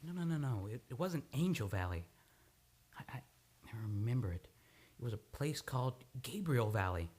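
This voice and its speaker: A woman playing a tormented, guilt-ridden amnesiac man in old time radio drama, with a halting, overwrought delivery. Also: rumbling low, expressive, darkish tone, breathy edge, full character voice. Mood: agitated, distressed. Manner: stammering, repeating words, overwrought.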